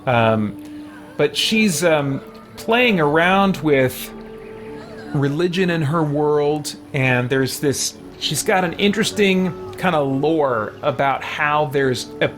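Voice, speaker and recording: A man hosting a game stream, casually commenting on play and answering chat in a musing, halting way as he thinks aloud. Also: a little high, lively intonation, a bit bright. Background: music.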